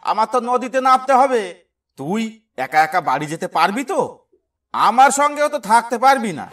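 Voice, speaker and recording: A man's voice: very high-pitched, fairly bright tone, far from the mic, a little hiss or hum. A man voicing a protective, practical village fisherman uncle in a cartoon, speaking in a cautioning way. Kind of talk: Bengali cartoon voice acting.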